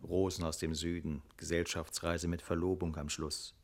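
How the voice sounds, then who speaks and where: An actor playing a sober, reflective narrator who tells a literary radio tale in a deliberate, thoughtful manner. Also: male voice, deep voice, little pitch movement.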